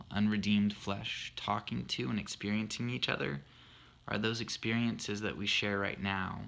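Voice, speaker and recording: A man's voice: fairly low pitch, gravelly, a bit bright. A man in his thirties explaining a poem he wrote for his wife, earnest and thoughtful with a self-deprecating humor. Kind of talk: reflective spoken monologue.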